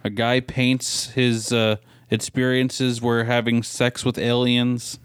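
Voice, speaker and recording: A man with an ordinary mid-range voice chats as a podcast co-host. He speaks colloquially and a little haltingly, feeling his way through his sentences. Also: mid-range voice, completely flat delivery, smooth, no echo, studio quality. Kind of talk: casual chat.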